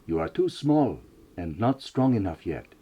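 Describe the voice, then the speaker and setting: A man narrating an audiobook dramatically, with a coached South Sudanese Dinka accent and a slightly husky voice. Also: lowish voice, sing-song, moderate pace, dark tone, lo-fi sound.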